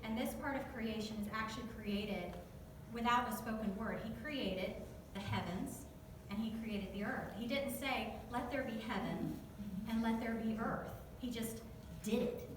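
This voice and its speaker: A woman's voice: fairly high pitch, unhurried, hardly any pauses, far from the mic. A woman with a Southern US accent giving a chatty, joking devotional talk to a church group and asking them questions. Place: church hall, echoey. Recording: echoey hall recorded from afar, noisy.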